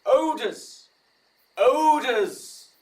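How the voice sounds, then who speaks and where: High-voiced bossy play director. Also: female voice, animated, very slow, darkish tone, distant mic, heavily acted. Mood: brisk, bossy. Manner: commanding, theatrical. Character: exasperated bossy director of amateur players. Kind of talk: Shakespearean audio drama acting.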